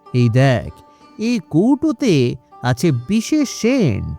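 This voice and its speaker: A man voicing a boastful, scheming cartoon trickster from a village, out for quick money, with a wheedling, dismissive way of talking. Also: sits high, huge pitch swings, creaky, dark tone, soft background music, exaggerated voice. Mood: scheming glee. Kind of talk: animated cartoon dialogue.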